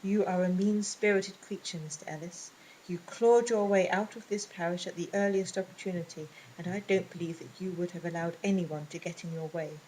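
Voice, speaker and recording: A woman voicing a stern, ponderous middle-aged male vicar, speaking in a measured, disapproving way. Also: fairly even intonation, darkish tone, slight background noise, natural voice, low-quality recording. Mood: cold. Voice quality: low and ponderous. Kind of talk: audiobook reading.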